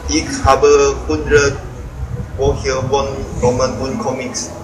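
A man's voice: medium pitch, completely flat delivery, takes it slowly. A 21-year-old man from Malaysia giving short, careful answers in beginner German. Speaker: student interviewee. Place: outdoor campus walkway. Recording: roomy, distant, loud background noise.

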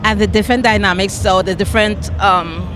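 A woman interviewing a guest at an outdoor festival, effusive and a bit halting, often restarting her phrases into a handheld mic. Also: medium pitch, fairly even intonation, background noise. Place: outdoor park festival grounds. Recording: music in background outdoors.

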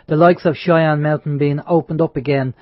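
A man's voice: fairly high pitch, fairly even intonation, normal speed, no room sound. A man hosting an online radio roundtable, asking questions in a loose, conversational way. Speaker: radio host. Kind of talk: casual roundtable chat. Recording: dull online call audio.

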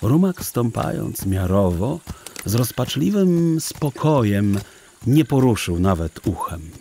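A man narrating a children's audio drama in a measured, literary storybook manner. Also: mid-range voice, very animated, creaky, mellow, a little hiss or hum.

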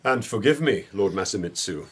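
A man's voice: fairly low pitch, expressive, normal speed, noisy background, close to an everyday voice. A man playing a haughty, sardonic lord of old Japan in a radio drama, disdainful and emphatic. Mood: scornful, sardonic. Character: haughty, scornful, sardonic male court lord.

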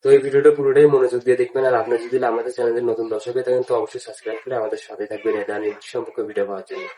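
A man's voice: medium pitch, flat delivery, racing, dark tone, a little hiss or hum. A man hosting a casual step-by-step app tutorial, with repetitive, instructional phrasing. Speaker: tutorial host.

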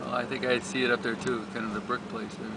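A man's voice: medium pitch, flat delivery, quick pace. A man giving rambling, casual commentary from inside a moving car as a support driver, thinking aloud about times and miles. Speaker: support driver narrating a charity bike ride. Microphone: dashboard camera mic. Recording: distant voice, loud road noise.

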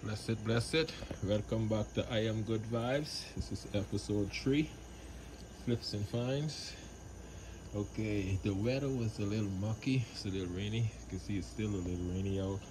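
A man's voice: lowish voice, moderate pace. A man narrating a casual vlog as host, easygoing and slangy in his phrasing, recorded on a phone outdoors. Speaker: vlog host, flea market reseller. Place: outdoors at flea market and porch. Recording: noisy outdoor phone recording with insects, vehicles.

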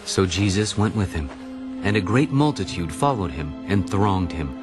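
A man narrating a dramatized audio Bible in a solemn, deliberate storytelling manner. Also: low voice, lively intonation, music in the background.

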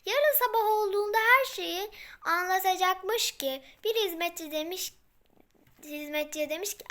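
Very high-pitched little girl telling stories. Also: bright, forceful tone. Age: child. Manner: halting, repetitive phrasing with self-corrections. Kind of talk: improvised fairy tale storytelling.

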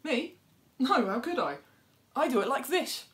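Affronted animal character, woman performing, storybook, emphatic, raised pitch. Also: sing-song, measured pace, fairly bright tone, full character voice. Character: affronted farm animals and birds denying blame.

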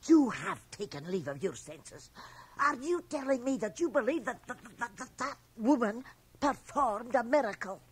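A middle-aged woman playing a stern, domineering, scolding small-town mother with a husky voice in a radio drama. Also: on the high side, animated, moderate pace. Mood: stern, appalled. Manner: scolding, imperious. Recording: 1980 radio drama from home cassette transfer.

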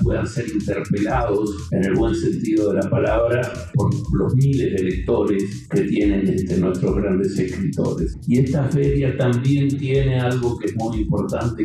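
A man's voice: on the low side, dark tone. A man, an organizer, promoting a book fair in an emphatic interview soundbite. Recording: very echoey distant hall sound with music.

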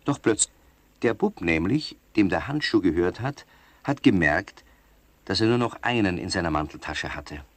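A man narrating a children's radio play as storyteller, in a clear, measured manner. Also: deep voice, expressive, no room sound. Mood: storytelling. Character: male storyteller of children's tale.

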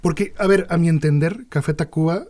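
A man in his thirties with a Mexican accent, hosting a podcast in a relaxed, slangy way and chiming in with short prompts. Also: fairly high pitch, brisk. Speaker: podcast host. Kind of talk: casual interview chat. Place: small dim podcast studio. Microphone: studio mic on a boom arm, headphones.

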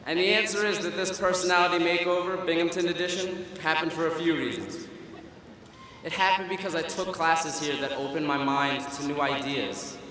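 A young man speaks at a podium microphone in a large auditorium, his bright, somewhat high voice carrying a wry, self-deprecating humor. His words ring out with a heavy, long reverb through a PA system. A faint hum of the hall sits beneath him. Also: steady tone, normal speed. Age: young adult. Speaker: student commencement speaker. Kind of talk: humorous graduation speech. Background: audience laughter and hall ambience.

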